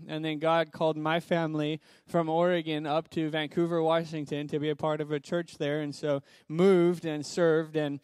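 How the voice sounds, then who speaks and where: A young man from Zimbabwe speaks as a missionary guest in a church, upbeat and brisk. His voice sits fairly high with a flat, steady contour. The sound is smooth and close, with little room echo. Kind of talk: personal testimony in a church service.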